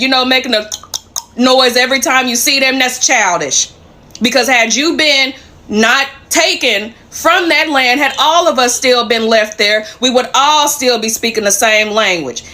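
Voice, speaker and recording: A woman's voice: on the high side, sharp tone. A woman delivering a blunt, provocative social media rant in a mocking tone.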